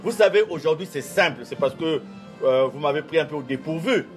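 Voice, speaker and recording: A man whose first language is not French, giving a formal interview statement as a church official, speaking in a measured, oratorical way with repeated emphasis. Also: on the high side, animated, faint music behind.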